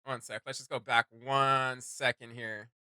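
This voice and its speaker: A man's voice: medium pitch, little pitch movement, a little slow, pushed and bright, clean tone, no echo, studio quality. A man co-hosting a reaction video, commenting casually in an informal, slangy, joking way.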